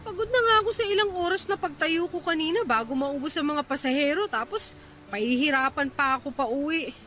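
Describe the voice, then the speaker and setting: A woman's voice: sits high, a little fast, bright, forceful tone, faint music behind. A woman playing a young woman stranded at night after a bus cut its route, complaining in exasperation in a radio drama. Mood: exasperated.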